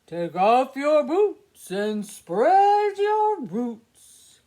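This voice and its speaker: Woman as a loud, slow, teasing talking tree. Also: very animated, exaggerated voice. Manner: loud, booming, drawn out. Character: teasing, mean talking tree in a children's storybook.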